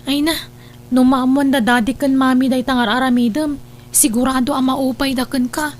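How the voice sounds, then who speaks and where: A woman's voice: high-pitched, fairly even intonation, moderate pace, slight background noise, close to an everyday voice. A woman playing a dutiful, worried young student in a radio drama, earnest and reproachful. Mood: scolding. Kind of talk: Ilocano radio drama acting.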